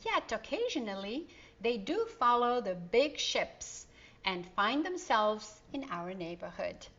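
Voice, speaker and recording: A woman's voice: on the high side, big pitch swings, slow pace, sharp tone, full character voice. A woman doing a jolly fisherman uncle. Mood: fond, cheerful. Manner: hearty, fond, exclaiming. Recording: dull lo-fi recording.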